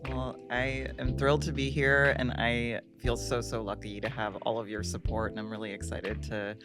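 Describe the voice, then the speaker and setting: A woman's voice: very deep voice, level tone, normal speed, over music. A woman from Texas, an organizer, answering interview questions in a gracious, casual way with some fillers. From United States, Texas.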